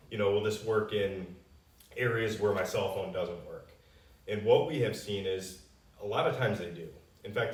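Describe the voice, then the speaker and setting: A man giving an unscripted, confident promotional explanation, answering customer questions as a company spokesman. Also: low voice. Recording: roomy echo, distant mic.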